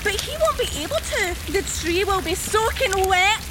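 A woman's voice: very high voice, lively intonation, moderate pace, background noise. An actress playing a cruel, gleefully taunting young woman turned killer in a horror radio play. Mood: gleeful menace. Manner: mocking, menacing sing-song taunts.

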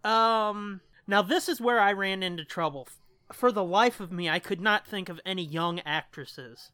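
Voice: mid-range voice, a little slow, bright, forceful tone, clear, resonant tone, no room sound. A podcast co-host chatting casually and swearing freely. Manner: profane. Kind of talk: casual chat.

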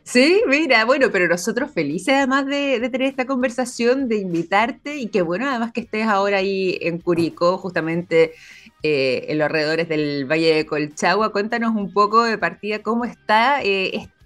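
Chilean woman, morning-show host, middle pitch, chatty with many fillers, faint music underneath. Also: a bit bright. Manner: chatty, lots of filler pauses.

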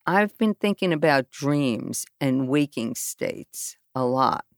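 A woman talks slowly in a low voice and muses her way through her ideas. She often trails off mid-thought. The recording is close and dry, with no room sound. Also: lively intonation, creaky, dry recording. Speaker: podcast guest. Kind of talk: casual conversation.

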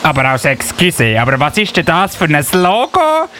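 A man's voice: a little high, huge pitch swings, normal speed, over loud music. A man with a Swiss accent playing a fussy, self-important elf policeman in a radio play, indignant and officious. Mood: earnest, alarmed. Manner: indignant, officious, with repeated verbal tic. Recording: noticeable buzzy background noise.

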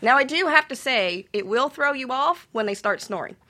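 A woman's voice: sits high, animated, moderate pace, sharp tone. A woman on a radio panel, casually telling explicit stories in a candid, unabashed and sweary way.

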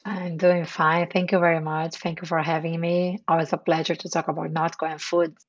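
A Brazilian woman in her forties speaking Portuguese as her first language, giving a measured podcast interview with frequent pauses. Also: low-pitched, flat delivery, no echo, muffled recording. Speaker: chief marketing officer, guest.

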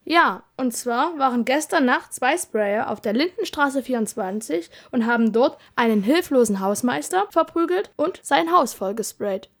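A fairly high female voice of an amateur actor plays a police investigator in a homemade audio drama. She sounds sensible and orderly, keeping to business in a matter-of-fact way. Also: expressive, normal speed, hardly any pauses. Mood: earnest.

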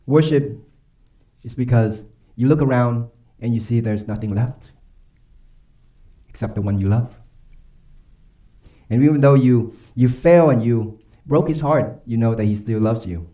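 Male pastor, deeply warm dark timbre, earnest and emphatic preaching, slows for weight. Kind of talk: sermon and closing prayer.

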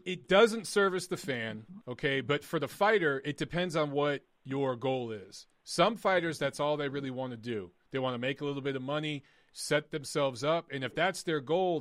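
A man hosting a live boxing call-in podcast, emphatic and opinionated, his voice going hoarse. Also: mid-range voice, a bit bright. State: losing his voice.

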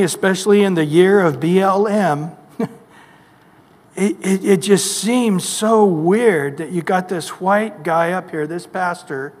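Male pastor, high voice, emphatic sermon delivery with rhetorical questions, long church reverb.

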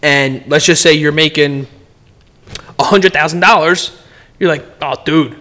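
Man, casual chat, rambling advice, repeats himself, somewhat high voice. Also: measured pace. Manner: rambling, repeats words and restarts, animated.

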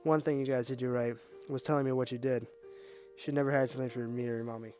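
A man playing a calm, gently teasing father in an amateur family audio drama. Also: mid-range voice, fast talker, faint music behind, natural voice. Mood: firm.

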